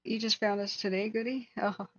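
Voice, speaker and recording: Woman livestream host, husky and hoarse, earnest chat, slightly muffled lo-fi audio. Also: medium pitch, steady tone, normal speed, fairly bright tone, no echo. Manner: earnest, talks to chat viewers by name. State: hoarse, losing her voice. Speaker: wildfire tracking livestream host.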